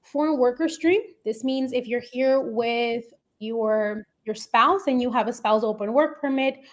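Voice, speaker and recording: A woman's voice: fairly high pitch, measured pace. A woman running her own immigration firm, hosting a live webinar and explaining programs in a friendly way, with frequent fillers and restarts.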